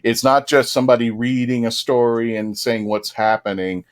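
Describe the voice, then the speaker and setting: A man's voice: mid-range voice, little pitch movement, normal speed, clear, resonant tone. A man hosting a casual fan panel, explaining things emphatically and joking with the guests. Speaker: panel host.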